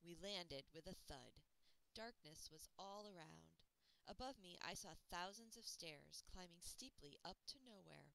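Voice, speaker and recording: Bright, creaky woman reading a children's story. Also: fairly low pitch, animated, measured pace, poor audio. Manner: dramatic, suspenseful narration. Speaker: children's library audiobook reader.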